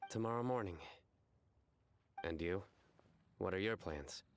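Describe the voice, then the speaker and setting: A man voicing a self-assured, deadpan young card game fanatic with formal phrasing in a fantasy game. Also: low-pitched, measured pace, slight background noise. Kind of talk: game dialogue.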